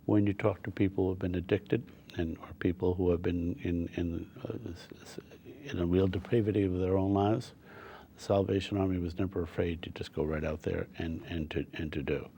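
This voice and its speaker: Deep gravelly older man, halting. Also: fairly even intonation, mellow, slight background noise. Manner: earnest, halting, repeats words often. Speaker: guest on a talk show. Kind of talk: casual interview conversation.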